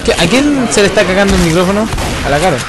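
A man's voice: high-pitched, expressive, fast, heavy background noise. A man with a Chilean accent bantering casually with friends while gaming, swearing freely in slang. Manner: slangy, foul-mouthed. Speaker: gamer. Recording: game sound and music behind a voice chat. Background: zombie shooter game sound and music.

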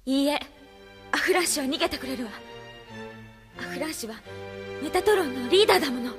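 Over clear background music comes a high female voice: an idealistic young captive, gentle yet defiant. She pleads earnestly in the heightened style of an anime audio drama. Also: lively intonation, fast talker. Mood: defiant, pleading.

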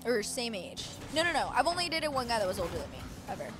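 Woman, twenties, chatty co-streamer, slightly high, game music behind. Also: quick pace. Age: 20s. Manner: chatty, reads and answers chat, excitable bursts. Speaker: esports co-streamer. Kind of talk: casual live stream chat over a match. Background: game music and car sounds.

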